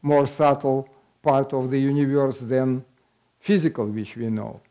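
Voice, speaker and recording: Slow older man with Russian accent. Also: mid-range voice, steady tone, warm tone. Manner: unhurried, explanatory, with occasional laughs. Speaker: guest lecturer. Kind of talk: public lecture. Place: small meeting room with audience. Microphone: headset mic.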